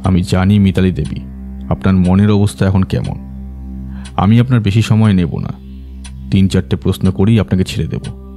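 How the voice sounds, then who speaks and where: Low, dark-voiced courteous detective. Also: male voice, fairly even intonation, faint music behind. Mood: probing, composed. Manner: polite, measured, probing. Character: composed, courteous male private detective questioning witnesses. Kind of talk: scripted audio drama acting.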